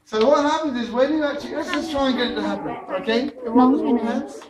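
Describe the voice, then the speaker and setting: A man's voice: extremely high pitch, fast talker, over a noisy crowd. Playful older man with a London accent. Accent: London English. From England. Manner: playful, teasing, animated. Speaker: science show presenter. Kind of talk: lively science demo for schoolchildren. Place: large school library room, indoors. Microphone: far camera. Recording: echoey room from far off, noisy.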